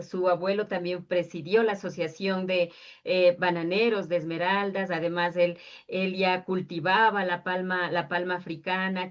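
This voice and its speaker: A woman's voice: lowish voice, dull audio. A woman interviewing a guest, recapping details before asking him to tell more. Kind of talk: interview questions.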